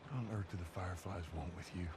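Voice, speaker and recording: An actor playing a weary, gruff middle-aged male smuggler in a naturalistic video game drama. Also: low voice, very fast, over music, lightly acted. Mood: weary, dismissive. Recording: loud rain and game ambience behind. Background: rain.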